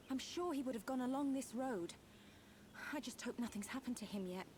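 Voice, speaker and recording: A woman's voice: fairly high pitch, moderate pace, lightly acted. An actress playing a worried, caring young woman adventurer in a video game cutscene, with faint background noise.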